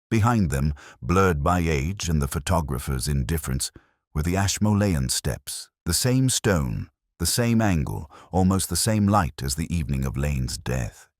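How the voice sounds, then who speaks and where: A man playing a brooding, introspective older police detective, narrating a mystery in a measured, solemn, literary way. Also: low voice, no echo, clean studio sound, exaggerated voice. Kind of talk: scripted first-person mystery narration.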